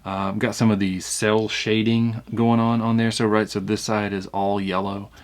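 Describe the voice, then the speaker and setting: Low-voiced man reviewing casually. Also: level tone, normal speed. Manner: conversational, thinking aloud while examining. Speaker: toy review channel host. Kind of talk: casual unboxing review commentary.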